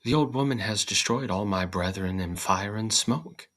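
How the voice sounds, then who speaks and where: A man's voice: on the low side, moderate pace, a bit bright. A man voicing a talking straw, an eager, plaintive little storybook character with formal, old-fashioned phrasing.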